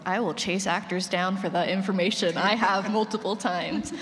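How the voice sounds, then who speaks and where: Medium-pitched woman in a reverberant room. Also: steady tone, normal speed. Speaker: panelist, casting creator. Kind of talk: casual panel talk. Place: large indoor convention panel room. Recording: reverberant noisy conference room.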